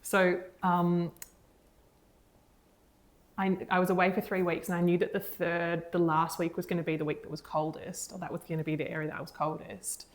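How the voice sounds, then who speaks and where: Chatty woman, low voice. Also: little pitch movement, normal speed. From Australia. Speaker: podcast co-host. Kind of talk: casual chat.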